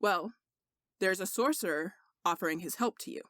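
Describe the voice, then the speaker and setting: A woman's voice: lowish voice, moderate pace, a bit bright, no echo, clean studio sound, close to an everyday voice. Woman reading as a humble, wry male servant. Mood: wry, casual.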